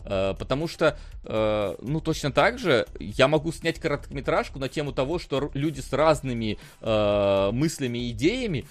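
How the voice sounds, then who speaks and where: A man's voice: mid-range voice, expressive, moderate pace, hardly any pauses. A man co-hosting a casual film discussion podcast, rambling with many fillers and restarts and laughing often. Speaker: podcast co-host.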